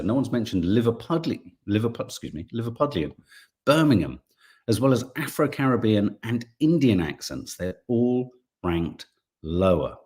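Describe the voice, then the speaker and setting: Lively, chatty man reading viewer comments. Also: medium pitch. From English Midlands. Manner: chatty, reads comments aloud, trips over words. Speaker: live stream co-host reading viewer comments. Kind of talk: casual live chat.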